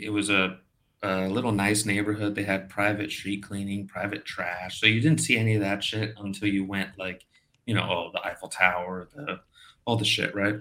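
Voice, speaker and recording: Lower-voiced Brazilian man, profane storyteller. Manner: animated, profane storyteller who acts out quotes. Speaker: podcast guest. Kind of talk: casual chat.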